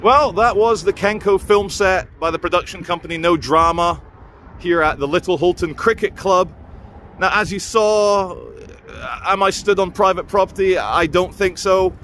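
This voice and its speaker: A man's voice: high-pitched, normal speed, fairly bright tone, slight background noise. A man from Scotland, filming himself outdoors on a handheld phone, gives teasing, chatty commentary and argues politely. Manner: playful, teasing, politely argumentative. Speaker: vlogger filming a confrontation. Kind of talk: chatty, joking running commentary to camera. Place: outdoors by a gate and car park. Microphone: handheld phone camera.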